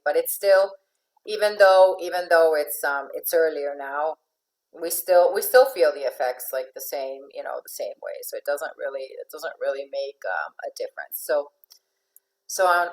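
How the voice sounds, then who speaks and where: A woman's voice: deep voice, low-quality recording. A woman from Finland, raised in Venezuela, giving a chatty talk as an astrological life coach, with many fillers and restarts. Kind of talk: informal talk to an online audience.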